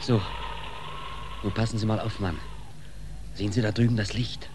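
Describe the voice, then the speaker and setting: A man's voice: lowish voice, fairly even intonation, normal speed, natural voice. Brusque, furtive policeman played by a man. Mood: furtive, instructing. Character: gruff Belgian policeman, furtive, impatient, bossy. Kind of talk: 1940s German radio drama acting. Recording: noisy vintage 1940s radio recording.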